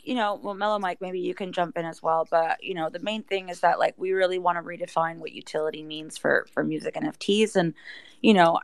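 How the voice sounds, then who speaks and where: A woman's voice: fairly low pitch, dry recording. A woman speaking as a guest in a live audio chat, promoting a project casually with lots of conversational filler phrases. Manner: conversational, peppered with "you know" and "like".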